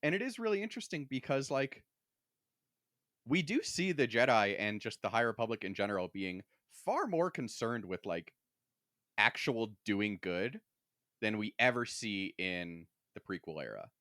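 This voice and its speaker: Lower-voiced man explaining and opining, dry sound. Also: very animated, normal speed, sharp tone, no echo. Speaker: podcast co-host. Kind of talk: casual podcast chat.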